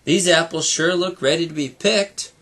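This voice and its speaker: A man voicing an excitable, curious young boy mouse in an eager, exclaiming way for a storybook. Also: on the high side, normal speed, pitched above the performer's own voice.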